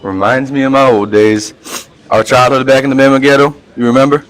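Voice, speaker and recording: A reverend who used to be a hoodlum, played by a man, in an amateur radio play. His voice sits at a middling pitch, and he spins folksy tales peppered with street slang. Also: steady tone, fairly quick, lightly acted, low-quality recording. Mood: boastful, chummy.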